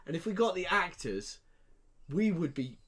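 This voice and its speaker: A man's voice: high voice, big pitch swings, moderate pace, fairly bright tone. A man co-hosting a podcast, giving blunt, emphatic opinions in casual, sweary chat. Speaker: podcast co-host.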